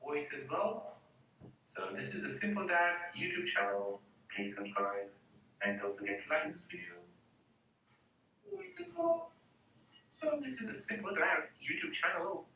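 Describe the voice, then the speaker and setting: A man's voice: a little high, huge pitch swings, unhurried, long pauses, bright, forceful tone. Male demo voice through voice changer effects, heavily reverberant and distant, faint noise. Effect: voice changer presets, shifting from clip to clip. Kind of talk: short recorded demo clip. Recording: very echoey, distant, faintly noisy playback.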